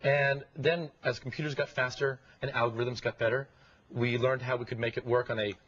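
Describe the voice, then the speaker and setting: Husky man explaining in an interview. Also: medium pitch, level tone, brisk, a bit bright. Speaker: guest expert on speech recognition. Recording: dull lo-fi broadcast audio.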